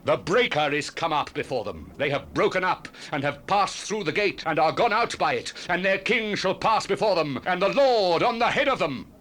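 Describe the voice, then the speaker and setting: Casting: Old Testament prophet, male, slightly high, piercing voice, grave and theatrical proclamation for a cassette-era Bible audio drama. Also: expressive, normal speed, few pauses, fairly bright tone. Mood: solemn warning. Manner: declamatory, solemn, theatrical. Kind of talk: dramatic scripture reading, archaic English.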